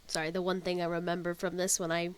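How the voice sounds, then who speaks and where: A woman's voice: on the low side, level tone, fast talker, smooth. A woman gamer doing casual gameplay commentary, informal and offhand, half talking to herself. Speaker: gamer reacting to a story quest.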